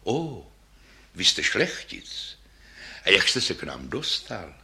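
A man voicing a polite lady double bass, deep and sonorous. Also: creaky, heavily acted. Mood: admiring. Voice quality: deep but slightly higher, sonorous. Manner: polite, flattering.

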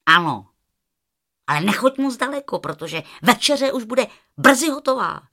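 A woman's voice: on the high side, big pitch swings, bright, forceful tone, full character voice. Woman playing a snappish, grumpy old aunt. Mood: brisk. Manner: snappish, scolding.

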